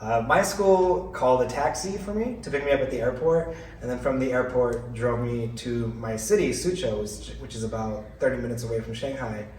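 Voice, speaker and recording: A man from California telling his story casually, relaxed and full of fillers. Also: medium pitch, normal speed. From California, USA. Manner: relaxed, chatty, many "like" and "you know" fillers. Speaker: guest. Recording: roomy, distant mic, faint noise.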